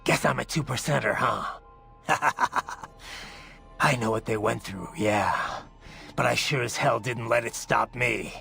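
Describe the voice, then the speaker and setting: A man voicing a brooding, bloodthirsty cyborg soldier in a video game, with a menacing gravelly growl and intense, clipped phrases. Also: mid-range voice, slow, sharp tone, exaggerated voice. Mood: grim, boastful. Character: brooding, bloodthirsty male cyborg soldier, haunted former child soldier. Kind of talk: dramatic video game voice acting. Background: music.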